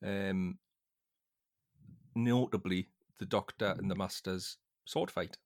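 A man hosting a casual book discussion, speaking in a thoughtful, hesitant way with halting phrases. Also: deep voice, steady tone.

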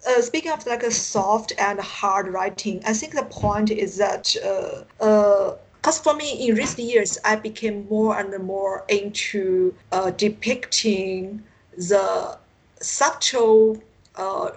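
A woman from China with a Chinese accent, a native Mandarin speaker, answering interview questions haltingly over an internet call and often searching for words. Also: mid-range voice, rough recording. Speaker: science fiction author, interview guest. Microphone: Skype call.

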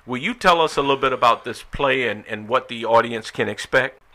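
A man hosting a talk show in an informal, conversational way, often halting and repeating his words. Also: mid-range voice, pushed and bright.

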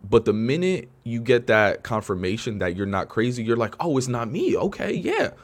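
A man hosting a podcast, asking questions in a relaxed, slangy, affirming way. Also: medium pitch, normal speed. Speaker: podcast host. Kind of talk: casual interview talk.

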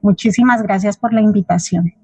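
A Colombian woman answering as an interview guest, conversational and measured, pausing between short phrases. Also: medium pitch, warm tone, breathy edge, dry recording. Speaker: guest playwright and theatre teacher.

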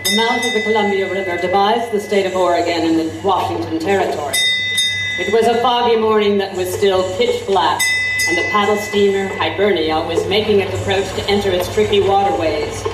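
A woman playing an intrepid, wry newspaper reporter, narrating an audio drama in a measured, formal way. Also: medium pitch, heavy background noise, lightly acted. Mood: grave narration. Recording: roomy, distant voice over music.

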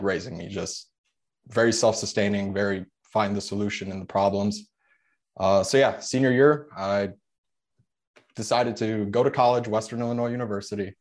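A man in his twenties from the Chicago suburbs, whose first language is Polish, telling his story as a podcast guest in a relaxed way with frequent fillers. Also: on the low side. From Chicago suburbs, USA. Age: 20s. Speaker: guest, veteran and real estate investor. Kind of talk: podcast interview.

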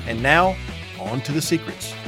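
A man's voice: on the high side, lively intonation, normal speed. A man hosting a team call, reading a scripted intro and sales numbers in an upbeat announcer style. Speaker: company president and call host. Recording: clear guitar music under voice. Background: guitar music.

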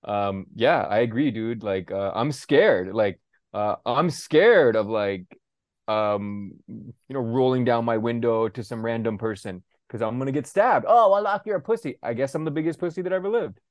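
A man's voice: mid-range voice, dry recording, dull audio. A young man in casual podcast chat, blunt and profane, ranting with lots of fillers. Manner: blunt, profane, fast-shifting rants. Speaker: podcast co-host.

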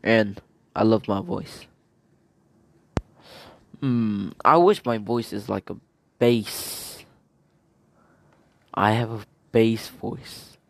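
Man host, goofy, rambling solo podcast talk, slow pace, gravelly tone. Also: lowish voice. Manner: playful, goofy, jumps between topics.

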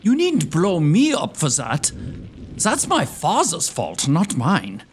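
A man's voice: a little high, wildly swooping pitch, slow pace, pitched above the performer's own voice, exaggerated voice. A man voicing a naive, flustered young suitor who stammers and protests in a comic gothic audio drama. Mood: indignant.

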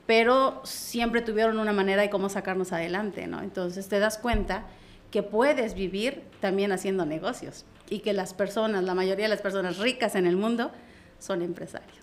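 A woman speaks as a podcast guest. She tells her stories in a lively, conversational way, acting out quoted dialogue and often ending phrases with a questioning tag. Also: medium pitch, moderate pace. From Mexico. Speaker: business consultant guest. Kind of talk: casual interview storytelling.

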